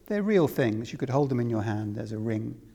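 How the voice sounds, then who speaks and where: A man from Wales giving a spiritual discourse to a live audience as the teacher, speaking deliberately with repeated phrases and rhetorical questions. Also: medium pitch, animated, gravelly, warm tone, husky. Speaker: spiritual teacher leading a talk. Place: reverberant room with an audience. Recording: long hall reverb.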